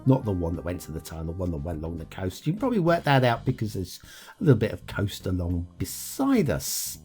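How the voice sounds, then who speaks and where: A chatty man narrates a review of a city-building map, his voice swinging lively up and down as he chuckles and trails off into ums. Faint music plays underneath him. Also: sits low, quick pace. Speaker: game map reviewer, show host. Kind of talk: casual gameplay commentary.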